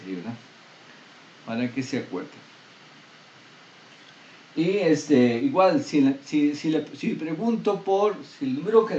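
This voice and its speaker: A man's voice: fairly high pitch, darkish tone. A man giving a university math lecture, explaining step by step and asking the students questions. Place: classroom. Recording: echoey room, distant dull mic, faint noise.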